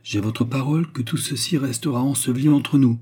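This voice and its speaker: A man's voice: mid-range voice, a little fast, darkish tone, husky, voice pushed up, natural voice. A man voicing a repentant former courtesan in storybook dialogue, solemn, secretive and commanding, with formal, emphatic delivery. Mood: grave, pleading.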